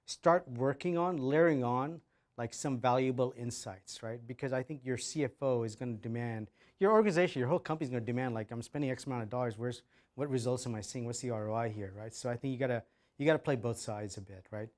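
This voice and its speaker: A man of middle pitch answering audience questions at a workshop. He talks in loose, casual sentences and ends almost every point with "right?". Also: fast talker, a little mellow. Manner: filler-heavy, keeps adding "right?". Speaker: presenter. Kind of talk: conference Q&A.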